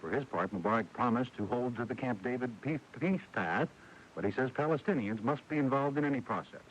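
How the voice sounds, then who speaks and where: American male radio anchor, mid-pitched, scripted bulletin delivery, muffled early-eighties broadcast with faint hiss. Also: expressive, brisk. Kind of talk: scripted network news bulletin. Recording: dull, hissy 1980s radio broadcast recording.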